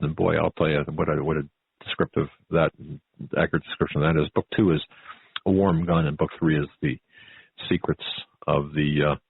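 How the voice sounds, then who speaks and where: A man hosting a conversational radio interview, with a halting, repetitive, folksy and emphatic way of talking. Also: a bass voice, vocal fry, husky. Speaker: talk show host. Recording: phone-like tinny band, roomy echo.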